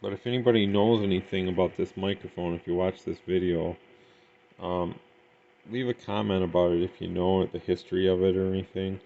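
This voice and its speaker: Male hobbyist reviewer, low creaky voice, tentative and informal. Muffled lo-fi home recording with faint noise. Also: fairly even intonation, a little fast. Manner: unpolished, tentative, thinking aloud. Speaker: CB radio hobbyist reviewing gear. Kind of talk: casual hands-on gear demonstration.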